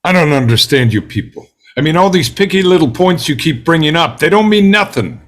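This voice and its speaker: An actor playing an angry, bigoted juror in a play read-through, ranting in a colloquial, hectoring way and growing desperate. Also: male voice, a little high, expressive, brisk, natural voice. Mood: scornful. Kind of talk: dramatic table read of a stage play.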